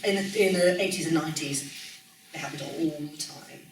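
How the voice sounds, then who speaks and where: A woman's voice: sits low, normal speed. A woman in her forties from Wales with a light Welsh accent, speaking casually as a guest speaker, full of ums and self-corrections. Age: 40s. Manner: chatty, frequent ums and self-corrections, laughs easily. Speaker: music journalist guest speaker. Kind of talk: casual conversational talk. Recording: echoey room, distant mic, faint noise.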